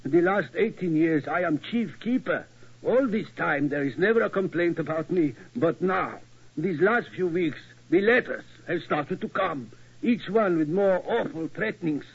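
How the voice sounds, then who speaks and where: Anxious old German keeper, high-voiced. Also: male voice, expressive, gravelly, slightly rough, exaggerated voice. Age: older. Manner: halting, formal, pleading speech with foreign word order. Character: anxious, deferential older German tower keeper. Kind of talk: 1940s radio acting. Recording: muffled vintage radio recording.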